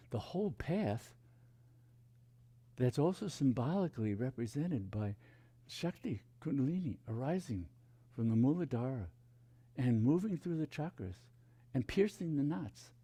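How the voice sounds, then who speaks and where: A man speaking as a meditation teacher, reading and explaining spiritual teachings in a hushed, deliberate, contemplative way with dramatic pauses. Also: on the high side, creaky, slightly rough.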